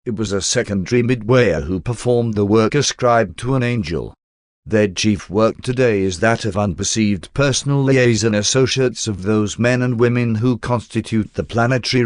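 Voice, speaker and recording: A man narrating a scripted text in a measured, formal way, like an audiobook reader. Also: fairly low pitch, no room sound, clean studio sound.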